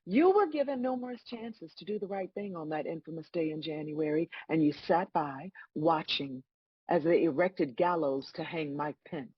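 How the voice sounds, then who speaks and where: A woman playing God as a stern, composed judge, delivering measured, scolding lines in a dramatic script read. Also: low voice, slightly rough, no echo, muffled recording. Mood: accusing.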